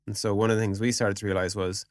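A man's voice: fairly low pitch, level tone, quick pace, no room sound, studio quality. A man speaking as a podcast guest in an informal, explanatory way, in casual business conversation peppered with filler words like "you know". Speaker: podcast guest, product executive.

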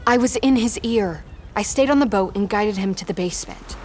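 A woman's voice: medium pitch, fairly quick, a little mellow, slight background noise, natural voice. A woman playing a snarky, plucky teenage girl in an audio drama, talking in sarcastic slang. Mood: tense recounting.

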